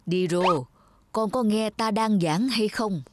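A woman voicing a stern but patient male head monk who teaches in a measured, admonishing way in a cartoon fairy tale. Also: mid-range voice, lightly acted. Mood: stern, encouraging. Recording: music behind the voice.

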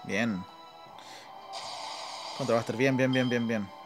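A man's voice: mid-range voice, steady tone, slow. Chilean man muttering through gameplay over music. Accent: Chilean Spanish. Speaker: gamer, video creator. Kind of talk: casual live gameplay commentary. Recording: game music behind voice. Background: mobile game battle music.

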